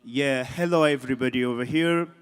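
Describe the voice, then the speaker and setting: A man speaks informally to an assembly as a delegate. His crisp, mid-pitched voice carries a long echo from the hall. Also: brisk. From Comoros. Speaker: government delegation member. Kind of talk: short impromptu public remarks. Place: large indoor conference hall. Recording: echoey hall, long reverb.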